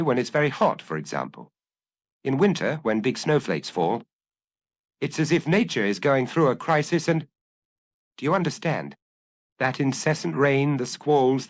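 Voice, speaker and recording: An anguished young male killer, read by a male narrator in a detective audiobook. He confesses earnestly, picking over his own impulses. The pitch moves widely, and the sound is close and dry. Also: no room sound, natural voice. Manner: earnest, self-analyzing, confessional. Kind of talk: dramatic audiobook reading.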